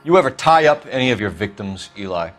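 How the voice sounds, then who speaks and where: Righteous, animated American lawman. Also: lowish voice, quick pace, soft background music. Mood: probing. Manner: probing, stern, precise. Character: righteous proud police detective and wartime officer. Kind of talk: dramatic video game acting.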